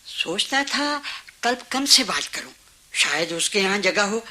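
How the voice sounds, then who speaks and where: Bright, animated, kindly gossipy elder neighbour. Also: female voice, mid-range voice, a little slow, exaggerated voice. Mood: friendly, fussing. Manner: solicitous, chatty, confiding. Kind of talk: Hindi radio play acting.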